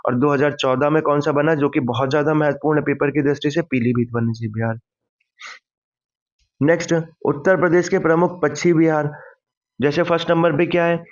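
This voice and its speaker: A man's voice: on the high side, fairly even intonation, brisk. A man lecturing for exam revision, didactically posing questions, answering them and repeating key points. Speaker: exam-prep teacher. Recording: dull lo-fi microphone sound.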